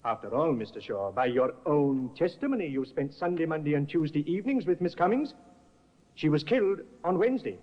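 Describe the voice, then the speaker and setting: An actor playing a shrewd, polished defense barrister in a 1950s radio drama, speaking in a measured, probing way. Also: male voice, fairly high pitch, lively intonation. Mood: cross-examining. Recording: dull lo-fi old radio broadcast.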